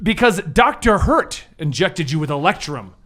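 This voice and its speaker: Male game master for tabletop play, pitched high, very expressive swings in tone. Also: moderate pace. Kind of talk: improvised tabletop game narration.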